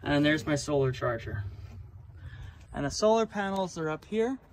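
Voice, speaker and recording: A man's voice: on the high side, animated, moderate pace. A man giving a casual, enthusiastic walkthrough as a hobby vlogger, speaking informally while showing his gear. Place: in and around a truck. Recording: clear vehicle and ambient noise.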